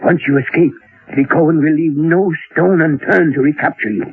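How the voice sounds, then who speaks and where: An actor playing a resolute elderly professor in an old radio drama, deliberate and authoritative. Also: male voice, a little high, husky, no room sound. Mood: urgent warning. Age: older. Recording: tinny old radio recording.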